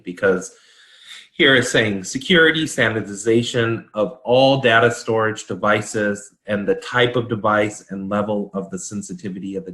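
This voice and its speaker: A man speaking as a consultant, explaining a standard in a measured, informal discussion. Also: lowish voice, fairly bright tone. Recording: echoey room.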